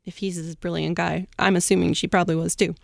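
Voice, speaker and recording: A woman's voice: lowish voice, little pitch movement, fast, vocal fry, no echo, clean studio sound. A woman hosting an informal history story among co-hosts, talking casually with slangy, chatty asides. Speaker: host telling a history story.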